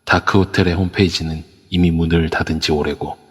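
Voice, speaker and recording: A man narrating an audio drama in a calm, measured storytelling voice with a husky tone. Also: deep voice, long reverb tail.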